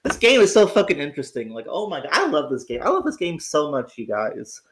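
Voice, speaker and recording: A man with a raspy, slightly sick voice talks casually on his own livestream from home. His pitch swings widely as he rambles, restarts his sentences and swears when he gets excited. The talk is loose and unscripted, aimed at his chat. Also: a little fast, a little hiss or hum. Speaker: livestream host.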